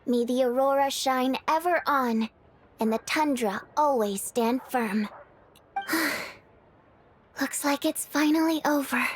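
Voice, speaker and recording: A woman's voice: high-pitched, slow, a little hiss or hum. A woman voicing a small, bubbly, childlike companion in a video game, with a perky, cutesy delivery. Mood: reflective. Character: small bubbly childlike floating companion, third-person talker.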